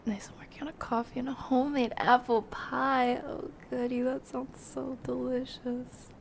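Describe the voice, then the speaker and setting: A woman hosting a casual gaming livestream, chatting to viewers in a soft, breathy voice that often drops near a whisper. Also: high voice, level tone, moderate pace, slight background noise. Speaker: livestream host, gamer.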